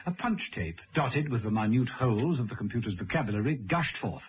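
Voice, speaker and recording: A man's voice: mid-range voice, animated, brisk, very noisy, lightly acted. A man playing the wry, dramatic storyteller of a radio serial, delivering scripted narration. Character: male arch storyteller, wryly addressing the hero. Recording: dull radio recording.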